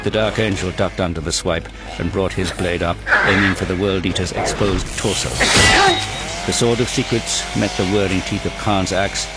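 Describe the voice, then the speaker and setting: Low-pitched male audio drama narrator. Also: fairly even intonation, moderate pace, over loud music.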